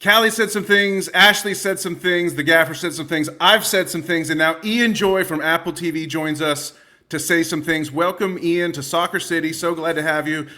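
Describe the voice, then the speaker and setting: A man hosts a soccer podcast. He talks loosely and jokes a lot, with frequent pauses to think. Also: fairly high pitch, pushed and bright. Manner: casual, lots of uh fillers, jokey. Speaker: podcast host. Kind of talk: casual podcast hosting.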